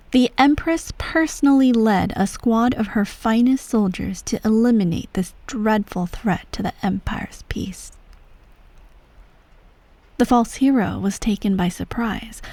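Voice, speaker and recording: Slow, hushed imperial mother played by a woman. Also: mid-range voice, dry recording. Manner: hushed, measured, regal, breaking into soft whispery tones. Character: ruthless, self-righteous empress mother telling her adopted child a bedtime story. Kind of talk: scripted ASMR roleplay, bedtime storytelling.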